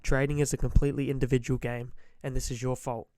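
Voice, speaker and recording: Slightly hoarse man giving blunt trading advice. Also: mid-range voice, flat delivery, fast talker, warm tone, no echo, clean studio sound. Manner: direct, emphatic, repeats key points; frequent throat clearing. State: slightly hoarse from being sick. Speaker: forex trader giving advice. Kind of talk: motivational trading-psychology talk to camera.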